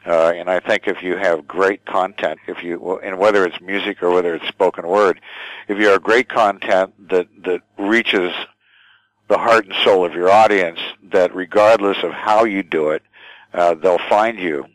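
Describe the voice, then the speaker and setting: Older man, low pitch, dry close sound, halting conversational delivery, guest answering questions. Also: fairly even intonation, no echo, rough recording. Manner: halting, thoughtful, repeats words. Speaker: radio station general manager, interview guest.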